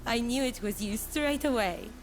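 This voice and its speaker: Woman acting high-voiced, welcoming young geisha. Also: normal speed, slight background noise, natural voice, rough recording. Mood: cheerful, welcoming. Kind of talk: video game cutscene acting.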